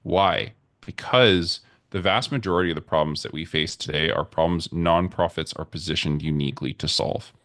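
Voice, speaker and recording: A man with a very deep voice, a podcast guest speaking earnestly and rallying listeners. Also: normal speed, few pauses, a bit bright, no echo, studio quality. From United States. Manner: earnest, rallying speech-like delivery. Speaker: podcast guest, nonprofit consultant. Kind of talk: conversational interview.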